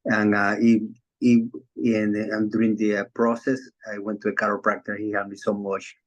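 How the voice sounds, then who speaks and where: Low, flat-voiced man from Venezuela. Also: normal speed, dull audio. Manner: hesitant, many fillers and restarts. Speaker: chiropractor. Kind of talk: casual video call chat. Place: Florida.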